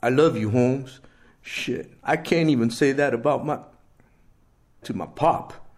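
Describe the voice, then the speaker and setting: A man voicing a loyal teenage friend who speaks nervously in halting chunks. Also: medium pitch, darkish tone, pitched above the performer's own voice, lightly acted. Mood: pleading.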